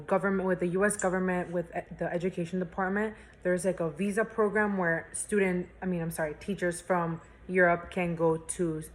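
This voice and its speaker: A young woman from Spain, a university student, chatting as an interview guest with lots of fillers and restarts. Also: lowish voice. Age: young adult. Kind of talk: casual interview chat.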